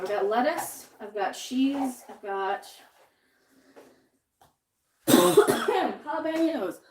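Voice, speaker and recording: Woman, casual livestream chat, home kitchen, sniffly, fairly high voice. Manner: chatty, reads viewer comments aloud. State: sniffly from jalapenos. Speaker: livestream host cooking.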